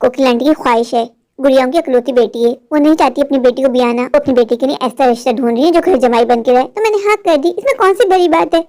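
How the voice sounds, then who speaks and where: A selfish young cartoon man, voiced by a voice actor with a very high, quick delivery. He flatters those he wants to win over and brushes off everyone else. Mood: dismissive. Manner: flattering, dismissive. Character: selfish young man, smooth-talking suitor.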